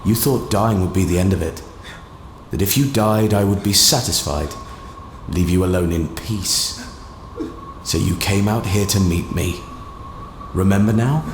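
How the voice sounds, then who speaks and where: A vengeful male ghost played by a man in an audio drama, taunting and accusing. He speaks very slowly and deliberately. The voice is fairly low and sits in a roomy echo over clear background noise. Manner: slow, taunting, deliberate questioning.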